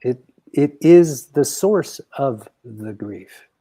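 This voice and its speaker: Husky, mellow man coaching. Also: medium pitch, lively intonation. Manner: measured, explanatory, deliberate phrasing. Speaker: coach in a guided conversation. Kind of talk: reflective coaching talk.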